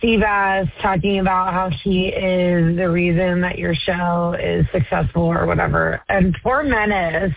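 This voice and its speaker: A woman with a low raspy voice leaving a brash, profane, rambling voicemail as a caller. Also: fairly even intonation, measured pace, few pauses, no room sound. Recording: tinny phone voicemail.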